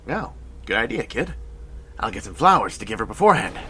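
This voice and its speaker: An actor playing an earnest young soldier hero in an audio drama, stammering when flustered and firm when giving orders. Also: male voice, lowish voice, very animated, a little fast, bright, forceful tone, breathy edge, exaggerated voice. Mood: nervous. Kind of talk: cinematic audio drama acting. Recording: music behind the voice.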